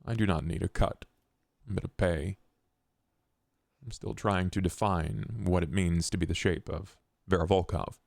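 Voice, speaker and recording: A man playing a formal, loyal, reflective vampire with measured, courtly wording in a tabletop roleplay podcast. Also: low-pitched, steady tone, creaky, a little mellow, dry recording, clean studio sound. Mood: solemn, loyal.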